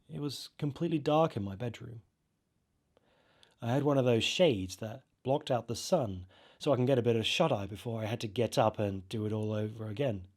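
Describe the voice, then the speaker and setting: A man narrating a first-person horror story in an expressive, dramatised way with halting pauses. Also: medium pitch. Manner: expressive, halting, acts out reactions.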